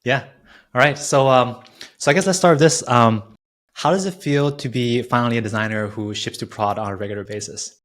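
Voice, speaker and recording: A man's voice: mid-range voice, a little fast, a bit bright. A man hosting an interview in a casual, conversational style, introducing and questioning a guest. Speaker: interview host.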